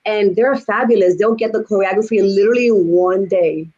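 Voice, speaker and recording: Animated young woman on a video call. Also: medium pitch, fairly quick, dull audio. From Dominican Republic. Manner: animated, gestures with her hands while talking; chatty, laughs between stories. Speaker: guest singer. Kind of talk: casual video-call interview. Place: home studio.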